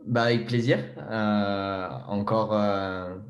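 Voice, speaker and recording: A man's voice: on the low side, fairly even intonation, slow pace, lo-fi sound. A man hosting a podcast in a casual, hesitant way with fillers, introducing and interviewing a guest. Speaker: podcast host.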